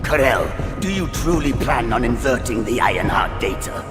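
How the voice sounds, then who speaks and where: A man playing an anxious, obsequious alien scientist in a video game, with a gravelly, deferential voice. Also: mid-range voice, expressive, normal speed, lightly acted. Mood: alarmed protest. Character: nervous, obsequious male alien villain scientist. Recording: long hall echo, music behind.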